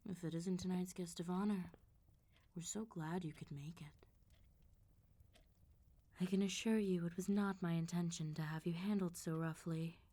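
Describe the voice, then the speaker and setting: A woman voicing a suave, menacing female vampire mob boss in an ASMR roleplay, politely teasing and threatening with unhurried control. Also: on the low side, fairly even intonation, mellow, natural voice. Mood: silky, polite menace.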